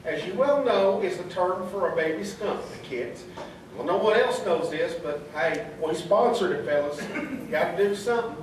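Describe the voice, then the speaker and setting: A man's voice: high-pitched, lively intonation, normal speed, a little hiss or hum. A man playing an earnest, officious lodge secretary with a folksy, corny manner in a comic radio play. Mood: officious, jokey. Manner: officious, folksy, awkwardly jokey. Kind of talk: comic radio theatre acting. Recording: very echoey hall, distant mic.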